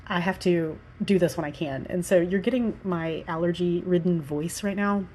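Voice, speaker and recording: A woman's voice: fairly low pitch, moderate pace, dark tone, slight background noise. A woman in her thirties from the American South, congested with allergies and a little out of breath, rambling casually with many fillers while she talks through a building design. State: slightly out of breath. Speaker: Sims builder and architect.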